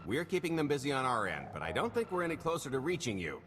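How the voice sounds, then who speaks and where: Loud music runs under a lively, mid-pitched male smuggler. He is a dry-witted game scoundrel who banters glibly and swings his intonation freely. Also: fast talker. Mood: brisk, in command. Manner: glib, sardonic banter. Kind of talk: video game cutscene acting.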